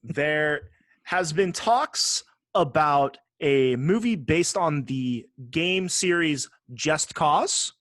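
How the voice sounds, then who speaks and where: Man, podcast host, slightly higher pitch, dry close sound, casual banter, slangy, sweary, emphatic. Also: expressive, very slow, a bit bright, no room sound. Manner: profane, slangy, emphatic opinions. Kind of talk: casual podcast chat about movies and games.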